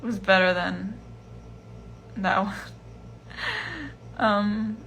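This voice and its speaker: Young woman doing a chatty phone livestream at home, bright voice with gravelly fry, lots of likes and ums. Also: mid-range voice, drawn-out words, slight background noise. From Iceland. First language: Chinese. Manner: chatty, relaxed, lots of "like" and "um" fillers. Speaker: musician hosting a livestream Q&A. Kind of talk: casual livestream chat reading fan questions.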